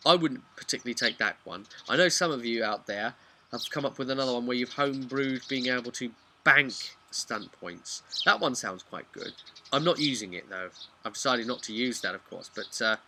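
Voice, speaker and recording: A man with a middling-pitched voice talks as a hobby video host. He is chatty and frank, rambling through an unscripted explanation. The recording is clearly noisy, with birdsong and clicks behind him. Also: a little fast, bright, forceful tone. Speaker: video host and tabletop game master. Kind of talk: casual unscripted explainer vlog.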